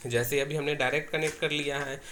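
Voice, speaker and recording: A man's voice: fairly high pitch, fairly even intonation, quick pace, pushed and bright, slight background noise. An Indian man giving an informal hands-on tutorial, explaining step by step and often repeating himself. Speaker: tech tutorial presenter.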